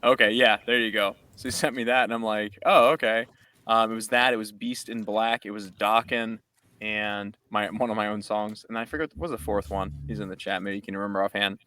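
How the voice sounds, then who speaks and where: A man's voice: fairly low pitch, fairly even intonation, brisk, pushed and bright, no echo. A man, a musician guest, chatting casually and rambling upbeat about making a song. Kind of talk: casual chat.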